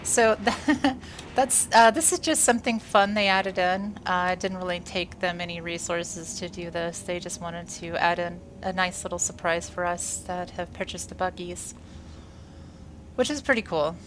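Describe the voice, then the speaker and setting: Woman doing casual gameplay talk, bit stuffy and wheezy, lowish voice, chatty with lots of uhs, faint hiss. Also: steady tone, moderate pace, rough recording. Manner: chatty, upbeat, frequent "uh" fillers. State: congested after a workout and illness. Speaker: gaming video creator.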